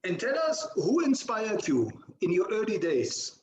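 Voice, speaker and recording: A man hosting an interview, asking polite, measured questions. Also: high voice, wildly swooping pitch, a little mellow, a little hiss or hum. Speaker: interviewer, host. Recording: echoey room sound.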